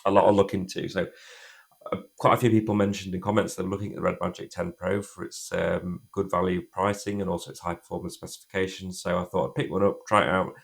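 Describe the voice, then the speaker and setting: Croaky man with a cold. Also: low-pitched, flat delivery, quick pace. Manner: chatty, rambling, restarts sentences often. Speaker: tech reviewer. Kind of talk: casual phone review to camera.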